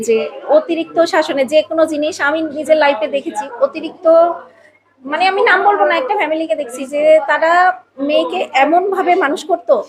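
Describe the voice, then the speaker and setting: High-voiced woman chatting over crowd noise. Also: fairly even intonation, quick pace. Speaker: podcast guest, a mother. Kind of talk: casual personal conversation.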